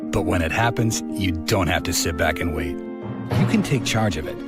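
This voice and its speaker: Low-voiced male corporate ad narrator. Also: normal speed. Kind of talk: scripted corporate ad voice-over. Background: loud music.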